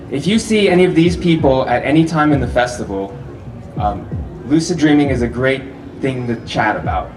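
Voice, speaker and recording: A man giving a relaxed, chatty talk at a festival, asking the audience questions and laughing often between points. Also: mid-range voice, normal speed, music in the background. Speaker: festival speaker. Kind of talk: live public talk to an audience. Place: festival venue with a live audience. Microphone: handheld or stand microphone, often held far off. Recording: roomy echo, distant mic, audible hall noise.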